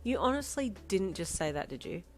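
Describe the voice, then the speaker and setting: A woman voicing a sulky teenage girl who speaks flatly and deadpan, flaring into angry outbursts. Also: medium pitch, brisk, faint music behind, lightly acted. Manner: flat and muttered, flaring into shouting.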